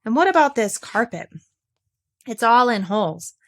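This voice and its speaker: A woman voicing a brisk, easily flustered mother kangaroo from a children's storybook, scolding and disapproving. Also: on the high side, big pitch swings. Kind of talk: children's storybook character reading.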